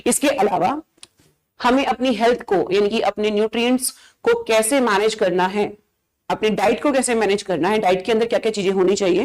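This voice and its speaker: A woman's voice: medium pitch, a little mellow. A woman with an Indian accent speaking as a biology teacher, giving explanatory, emphatic advice and switching into English phrases. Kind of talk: informal educational advice talk to fellow teachers.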